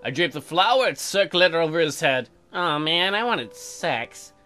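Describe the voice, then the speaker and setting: A let's play host reads visual novel text aloud and cuts in with sarcastic, crude jokes. The voice is bright and animated, with soft music in the background. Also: medium pitch, measured pace. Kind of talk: reading game text with joking commentary.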